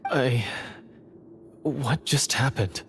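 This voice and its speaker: Troubled, bitter treasure hunter. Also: male voice, mid-range voice, slight background noise. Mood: dazed. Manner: halting, sighing, brooding. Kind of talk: video game voice acting. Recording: faint music behind the voice.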